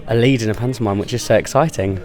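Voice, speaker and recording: A man's voice: fairly low pitch, quick pace, darkish tone. A male pantomime actor answering interview questions in a friendly, enthusiastic way, with frequent ums. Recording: interview with music playing behind. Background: background music.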